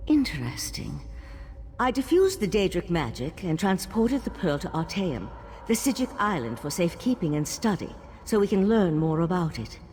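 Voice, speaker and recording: An earnest elf mage, low voice. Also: female voice, big pitch swings, moderate pace, darkish tone, big-room sound, a little hiss or hum. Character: earnest female elf mage of an ancient monastic order.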